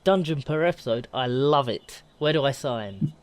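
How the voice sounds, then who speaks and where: A man's voice: mid-range voice, animated, moderate pace, dry recording. Man in casual gaming podcast chat. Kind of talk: casual, animated group chat.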